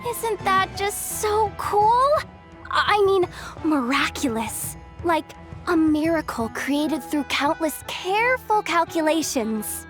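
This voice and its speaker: A woman playing an eager, excitable young working girl in a video game, gushing and breezy with a slight stammer. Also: extremely high pitch, takes it slowly, soft background music, heavily acted.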